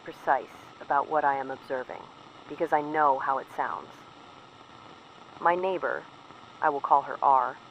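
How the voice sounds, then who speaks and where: A man playing a troubled resistance field operative, giving a precise, measured report in short sentences on a dull, noisy field recording. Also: mid-range voice, lightly acted. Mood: guarded, precise. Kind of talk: scripted audio drama field report.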